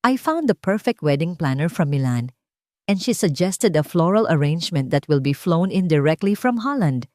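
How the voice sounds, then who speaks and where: A woman's voice: low-pitched, sing-song, normal speed, a little mellow, no echo, clean studio sound. A woman with a Filipino accent voicing a sweet, gushing, image-obsessed rich fiancée in an audio drama story. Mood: cheerful, gushing. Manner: honeyed, gushing.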